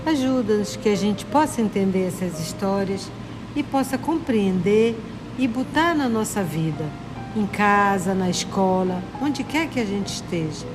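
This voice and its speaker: A woman's voice: mid-range voice, animated, unhurried, poor audio. A woman co-hosting a children's lesson speaks a simple prayer in short, plain phrases. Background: clear background music.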